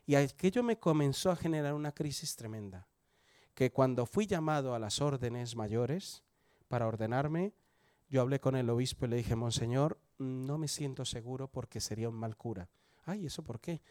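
A Colombian man in his forties shares his testimony at church in a chatty, conversational way. He often turns to his listeners with questions and swings his loudness for emphasis. His voice sits at an ordinary male pitch, recorded dry with no room around it. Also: normal speed, no room sound. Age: 40s. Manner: conversational, asks the audience questions. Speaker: church member giving testimony.

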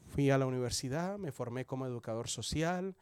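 A Colombian man in his forties shares his testimony at church in a chatty, conversational way. He often turns to his listeners with questions and swings his loudness for emphasis. His voice sits at an ordinary male pitch, recorded dry with no room around it. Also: lively intonation, a little fast, smooth, no echo. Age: 40s. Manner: conversational, asks the audience questions. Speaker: church member giving testimony.

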